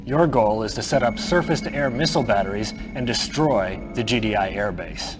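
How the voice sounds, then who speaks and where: A man playing a smug, menacing militant officer who briefs a subordinate in a video game, theatrical, deliberate and condescending. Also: on the low side, music in the background, lightly acted. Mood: smug, stern.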